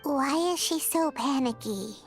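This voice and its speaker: A woman voicing an excitable, childlike little fairy companion in an anime-style video game. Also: a little slow. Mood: puzzled. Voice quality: squeaky, childlike. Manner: excitable, refers to self in third person. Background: background music.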